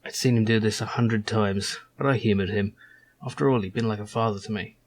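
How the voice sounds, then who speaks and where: A man's voice: fairly low pitch, steady tone, fairly quick, close to an everyday voice. A man narrating as a young male survivor telling his own story in a wry, reflective storybook style.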